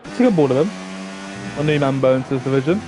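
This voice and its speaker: A man's voice: mid-range voice, lively intonation, racing, noisy background. A young man giving live, chatty commentary on an online racing league, thinking aloud and talking to viewers. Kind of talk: live esports race commentary.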